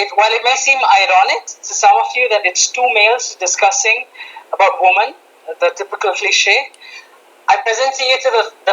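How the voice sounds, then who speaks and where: A man's voice: high-pitched, pushed and bright, highs cut off. A man from India, hosting a casual podcast chat and poking fun at himself. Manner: talkative, self-mocking, many restarts. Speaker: podcast host.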